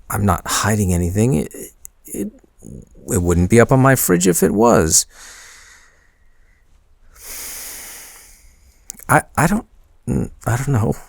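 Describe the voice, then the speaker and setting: A man's voice: fairly low pitch, creaky, dry recording, clean studio sound, heavily acted. A man playing a shy young man confiding in his crush, hesitant and intimate, in a boyfriend roleplay audio. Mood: flustered, reluctant. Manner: hesitant, halting, soft-spoken confiding.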